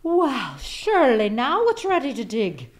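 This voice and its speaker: A woman's voice: sits high, wildly swooping pitch, unhurried, pitched above the performer's own voice, heavily acted. A woman voicing a lazy, self-pitying Irish farmer husband in a storybook read-aloud, whining and dramatic. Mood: proud, boastful.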